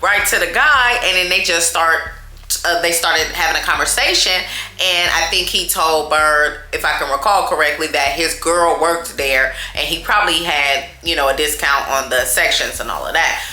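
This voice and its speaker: A woman telling a storytime to her viewers in a loud, chatty, animated way, talking straight to them as y'all. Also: low voice, moderate pace, echoey room. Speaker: storytime vlogger.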